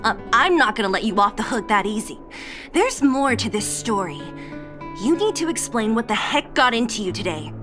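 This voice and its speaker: Lively, blunt young woman fighter. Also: a little high, normal speed. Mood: indignant. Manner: blunt, brash, emphatic. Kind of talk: video game voice acting. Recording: guitar music behind the voice. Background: plucked guitar music.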